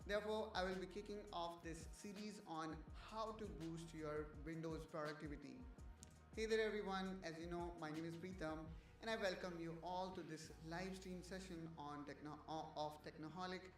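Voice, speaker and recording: A man's voice: fairly high pitch, normal speed, faint music behind. A man hosting a casual tech livestream, talking hesitantly with many fillers and restarts while checking in with his viewers. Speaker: livestream host.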